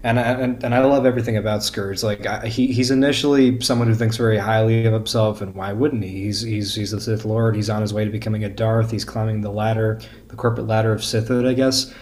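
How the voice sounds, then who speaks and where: A man's voice: on the low side, steady tone, fairly quick, few pauses, a little mellow. A man as a podcast guest, discussing earnestly and casually, often stammering and restarting his phrases.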